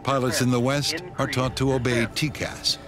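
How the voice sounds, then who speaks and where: A man's voice: mid-range voice, fairly even intonation, moderate pace. A man reading scripted, dramatic narration as the narrator of a documentary. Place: voice-over booth. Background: background score music.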